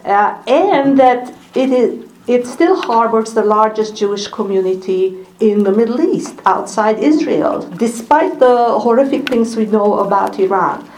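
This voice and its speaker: A woman in her sixties speaking with a Hungarian accent, addressing an evening audience as a scholar. Her delivery is thoughtful and unhurried. She often pauses briefly to find the next word. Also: fairly high pitch, darkish tone, a little hiss or hum, rough recording. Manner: thoughtful, unhurried, many small pauses and fillers. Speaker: scholar giving a lecture. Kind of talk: public talk at an evening event.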